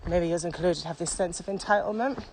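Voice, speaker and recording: A woman's voice: fairly low pitch, brisk. A British woman co-hosting a casual walking podcast outdoors, speaking in an earnest, emphatic, opinionated way. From United Kingdom. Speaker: podcast co-host. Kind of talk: casual conversational chat while walking. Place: outdoors, walking on a mountain path. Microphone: handheld recorder without wind cover. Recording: very noisy windy outdoor location recording.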